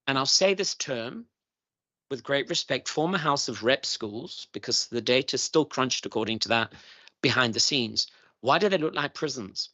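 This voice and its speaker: A man's voice: mid-range voice, fairly bright tone, no room sound. Man, middle-aged, formal committee testimony, emphatic and repetitive, dry close sound, dull lo-fi audio. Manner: earnest, emphatic, repeats key points. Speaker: chief schools evaluator testifying to a committee. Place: parliamentary committee room.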